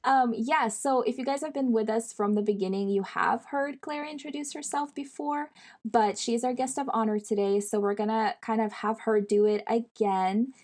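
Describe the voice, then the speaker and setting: A woman's voice: on the high side, steady tone, moderate pace, darkish tone. A woman hosting a podcast in a loose, informal way, with plenty of "like" and "um". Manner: informal, rambling, lots of fillers. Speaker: podcast host. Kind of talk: casual podcast chat.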